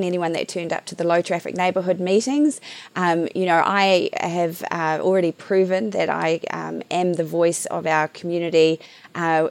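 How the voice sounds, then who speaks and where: Nz woman, middle-aged, kinda low voice, talks fast with lots of ums, dry close sound. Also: no room sound. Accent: New Zealand. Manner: animated, chatty, many fillers. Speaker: local board member. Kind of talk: podcast interview answers.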